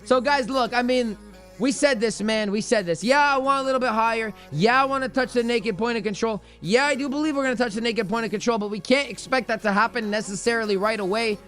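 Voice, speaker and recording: A man hosting a casual live stream, commenting in an excitable, slangy way and joking with his viewers. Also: extremely high pitch, fast talker. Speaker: livestream host doing crypto chart analysis. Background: music playlist playing.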